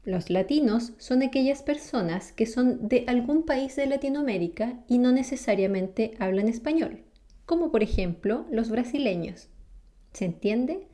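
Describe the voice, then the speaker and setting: A woman's voice: fairly high pitch, expressive, a little slow, dark tone. A woman from Chile with a Chilean accent, explaining ideas in a friendly way. She often asks the listener questions and laughs between points. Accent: Chilean Spanish. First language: Spanish. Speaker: vlogger. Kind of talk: explanatory talk to camera.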